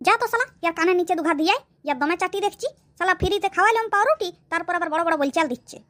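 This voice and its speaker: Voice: very high-pitched, fairly bright tone. An actor voicing a carefree, blustering village drunkard in a comedy cartoon, bantering cockily. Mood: scolding. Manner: cocky, rapid rustic banter. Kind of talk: exaggerated comic cartoon dialogue.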